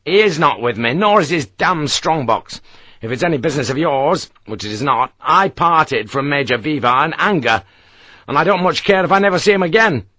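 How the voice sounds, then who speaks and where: A man's voice: fairly high pitch, expressive, moderate pace, pushed and bright, no echo, voice pushed up. Short-fused rifle officer, clipped and cursing, voiced by a male narrator. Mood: angry. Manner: curt, swearing, clipped retorts. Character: weary, hot-tempered British rifle lieutenant.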